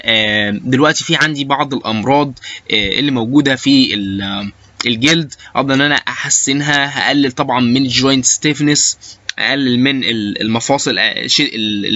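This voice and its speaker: A man's voice: medium pitch, sharp tone, no echo, highs cut off. A man with an Egyptian Arabic accent giving an informal lecture, mixing in English technical terms and often hesitating and restarting. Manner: halting, with frequent hesitation sounds and repeated starts.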